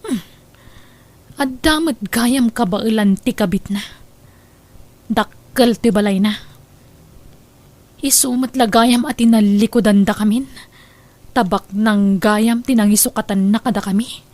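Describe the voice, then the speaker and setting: High-pitched, emotional young daughter acted by a woman. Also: unhurried, long pauses, a little mellow, close to an everyday voice. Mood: bitter. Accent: Ilocano. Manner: emotional, pleading and reproachful. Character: anxious, resentful young daughter, proud and quick to accuse. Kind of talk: Ilocano radio drama acting.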